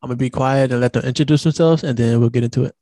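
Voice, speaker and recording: A man's voice: medium pitch, steady tone, very fast, dark tone, no room sound. A man in his thirties hosting a podcast, talking in a laid-back, slangy way with lots of fillers. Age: 30s. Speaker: podcast host. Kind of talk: casual podcast chat.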